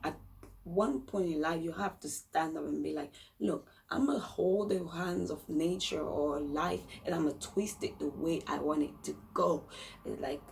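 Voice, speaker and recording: Hoarse woman, low gravelly voice, unhurried soft delivery, faint background noise. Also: far from the mic, rough recording. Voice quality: croaky, gravelly voice. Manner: slow, thoughtful, often drops to a soft whisper. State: hoarse after long studio hours. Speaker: vlogger sharing a personal story. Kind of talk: reflective personal talk to camera.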